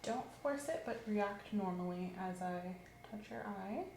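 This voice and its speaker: A woman doing an ASMR medical roleplay as an examining clinician, giving calm, gently coaxing clinical instructions. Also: medium pitch, measured pace. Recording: roomy echo, faint background hiss.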